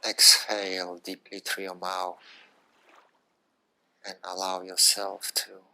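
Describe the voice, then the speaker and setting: Slow, low-voiced man guiding relaxation. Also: monotone, vocal fry, low-quality recording. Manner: hushed, soothing, short phrases with long pauses. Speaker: yoga nidra guide. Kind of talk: guided relaxation meditation.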